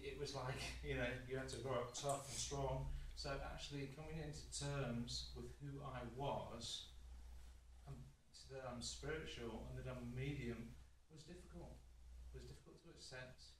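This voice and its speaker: A man's voice: mid-range voice, steady tone, moderate pace, distant mic, a little hiss or hum. Scottish-born man in an echoey hall. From Scotland. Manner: chatty anecdotal, with laughs and restarts. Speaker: spiritual medium giving a talk. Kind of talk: storytelling talk to an audience. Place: large hall with a painted mural, indoors. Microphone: distant audience camera phone.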